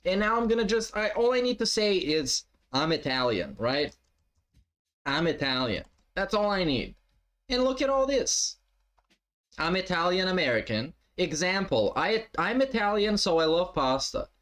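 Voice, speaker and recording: A man's voice: high-pitched, big pitch swings, moderate pace, fairly bright tone. A man doing reaction commentary on stream, mocking and heckling with lots of swearing.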